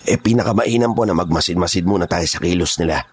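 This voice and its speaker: A man playing a gruff, blunt kidnapper boss in a horror audio drama. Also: low voice, fast talker. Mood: deferential. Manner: rough, street-tough, blunt. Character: gruff, menacing male kidnapper gang boss.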